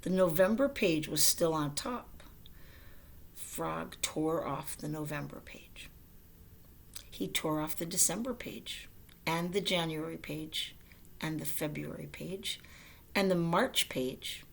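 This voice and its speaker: A woman reading a children's story aloud in a gentle storytelling style. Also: low voice, slow pace, vocal fry, slight background noise. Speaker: storybook reader.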